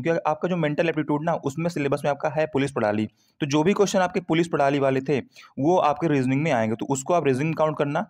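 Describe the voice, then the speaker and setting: A man's voice: on the high side, a little mellow. A man giving an informal, conversational explainer to students, often adding check-in tags and mixing in English terms. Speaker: exam prep YouTuber.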